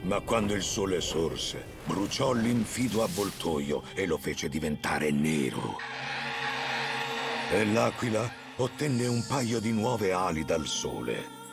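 A man playing a wise, kindly old bear who tells tales in a children's cartoon, with a gravelly, expressive voice. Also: sits low, slow pace, music in the background, exaggerated voice. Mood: solemn storytelling. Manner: storytelling, expressive.

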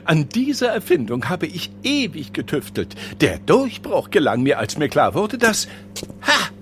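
An actor playing a vain, gloating diamond thief and inventor in an audio play, theatrical and smug. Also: male voice, fairly high pitch, huge pitch swings, unhurried, a bit bright, slight background noise, full character voice. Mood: smug, boastful.

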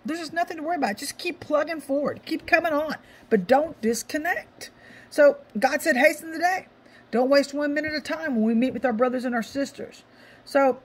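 Middle-aged woman, ministry host. Raspy, slightly high voice, emphatic and informal, hammering phrases by repetition. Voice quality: scratchy. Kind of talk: informal devotional exhortation.

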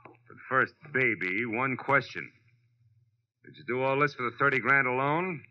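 An actor playing a tough, wisecracking American private detective, narrating and talking in slangy, wry 1940s radio style. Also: male voice, mid-range voice, a little slow, lightly acted. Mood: cool, needling. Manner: hard-boiled, slangy, wry. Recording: dull old-time radio recording.

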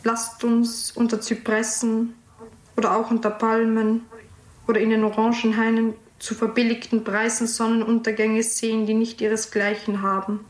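A woman giving a measured poetry reading as a poet inviting others on a journey. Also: a little high, completely flat delivery, close to an everyday voice. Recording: echoey room.

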